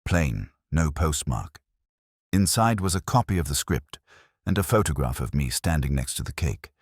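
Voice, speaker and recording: A man voicing a frail, bewildered dentist with a hoarse, formal way of speaking, in an audiobook mystery. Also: very deep voice, big pitch swings, normal speed, no echo, studio quality, full character voice. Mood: weary, bewildered. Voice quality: hoarse, croaking. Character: frail, bewildered male dentist, an anxious scapegoat.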